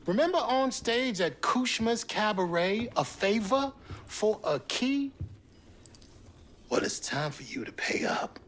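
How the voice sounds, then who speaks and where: A man's voice: sits high, sing-song, slow, a bit bright, slight background noise, heavily acted. A man playing a sly, theatrical otherworldly bargainer in a video game, cajoling and ominous. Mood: sly, smug. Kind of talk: video game cutscene acting. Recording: music behind the voice. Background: music.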